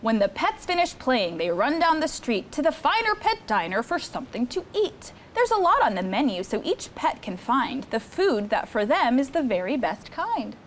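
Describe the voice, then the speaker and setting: A young woman hosting story time, reading a picture book aloud to children in an expressive, encouraging way. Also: sits high, normal speed, hardly any pauses, slight background noise. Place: studio set with seated children.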